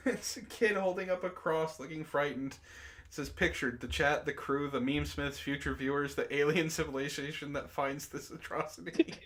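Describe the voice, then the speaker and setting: A man's voice: a little high, normal speed, a bit bright, a little hiss or hum. A man hosting a casual online chat, reading messages and memes aloud and reacting in a giggly, profane way.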